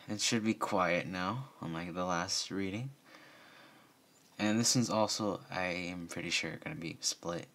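A man's voice: sits low, fairly bright tone. A man reading fan fiction aloud in an amateur storytelling style, now and then stumbling over words.

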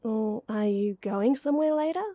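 A woman's voice: on the high side, moderate pace, mellow, no room sound, close to an everyday voice. A young woman voice actor playing a playful, teasing close friend in a roleplay audio, speaking to the listener in a giggly, coaxing way.

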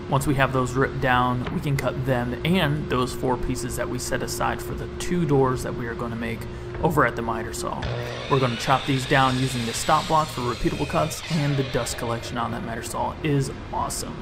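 Upbeat man narrating a woodworking build. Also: medium pitch. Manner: explanatory, upbeat about tools. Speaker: woodworking channel host.

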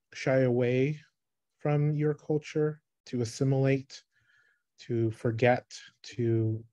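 A man in his thirties, from the Philippines, giving a thoughtful online talk about his photography on a video call, with pauses and restarts. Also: medium pitch, mellow, no room sound. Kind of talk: online presentation. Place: small room, indoors. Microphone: webcam on a video call.

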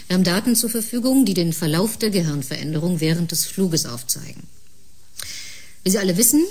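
An actress plays a cool, assertive woman of science in a science-fiction radio drama. Her voice sits fairly low with a husky grain. She makes each point deliberately and pointedly, like an expert who will not back down. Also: a little mellow, lightly acted. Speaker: actor in a sci-fi audio drama. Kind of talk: German sci-fi radio play acting.

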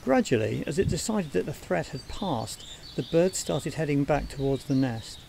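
A man giving scripted documentary narration for a wildlife training film in a measured, explanatory manner. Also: fairly high pitch, lively intonation, gravelly, darkish tone, a little hiss or hum.

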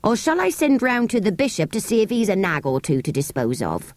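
A woman voicing a gruff, mocking, bullying working-class English horse dealer in a storybook, drawing out his words. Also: mid-range voice, huge pitch swings, a little fast, a little mellow, no room sound.

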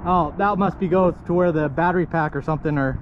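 A high-voiced man narrates casually from a kayak, muttering short remarks to himself and to the fish he catches. The action-camera audio is dull and muffled. Wind and water noise run clearly underneath. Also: quick pace. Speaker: kayak fishing vlogger. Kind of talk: casual narration while fishing. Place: on a kayak outdoors on shallow water.

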